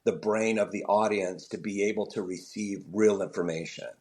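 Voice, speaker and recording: A man's voice: lowish voice, normal speed. A middle-aged male comedian chatting on a remote interview, telling stories and acting out quoted voices. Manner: animated storyteller, acts out quoted voices. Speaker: comedian and show founder. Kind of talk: casual interview chat.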